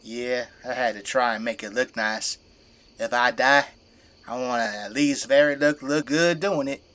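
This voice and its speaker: A man's voice: mid-range voice, unhurried, a bit bright, close to an everyday voice. An actor playing a folksy, boastful, tipsy middle-aged father who fights zombies with a flamethrower in an audio drama. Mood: proud, showing off. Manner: folksy, colloquial, easygoing bragging.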